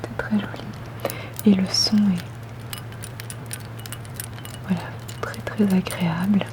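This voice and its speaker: A woman whispering softly and breathily in an ASMR roleplay as a polite, attentive boutique saleswoman, gentle and unhurried. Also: medium pitch, fairly even intonation, low-quality recording. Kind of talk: ASMR roleplay, customer service.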